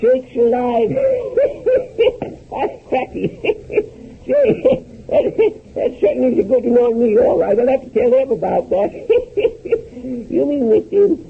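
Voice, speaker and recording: An actor playing a folksy, obtuse old shopkeeper with a hesitant rural American way of talking, in 1930s radio comedy style. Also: male voice, very high-pitched, sing-song, slow, creaky, heavily acted. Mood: amused. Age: older. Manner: hesitant, drawling, countrified grammar. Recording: muffled 1930s radio recording.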